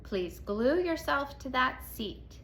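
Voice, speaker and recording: A woman voicing a firm but encouraging female schoolteacher in a storybook, giving deliberate instructions. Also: high-pitched, lively intonation, fairly bright tone, slight background noise, exaggerated voice.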